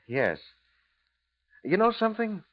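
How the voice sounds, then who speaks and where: Knowing blackmailer, American, insinuating and hesitant, very varied intonation, lo-fi 1950s radio. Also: male voice, sits high, huge pitch swings, takes it slowly, exaggerated voice. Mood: smug, insinuating. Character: sly, insinuating male blackmailer, coolly needling. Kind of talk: 1950s radio drama acting. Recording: dull, lo-fi 1950s radio recording.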